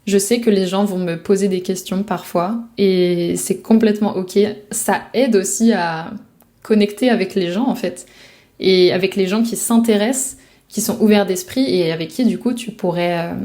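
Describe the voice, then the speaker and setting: A woman's voice: mid-range voice, dark tone. A woman from France, a language coach, talking to the viewer in a reflective, casual way about life abroad. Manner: reflective, speaks directly to the viewer, casual fillers. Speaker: French speaking coach. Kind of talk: advice talk to camera.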